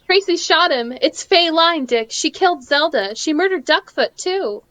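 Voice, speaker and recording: Woman playing high-voiced melodramatic radio serial heroine. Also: expressive, moderate pace, fairly bright tone, no room sound. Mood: relieved, admiring. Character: plucky heroine sweetheart Miss Trueheart, indignant, frightened. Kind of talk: 1940s radio serial acting.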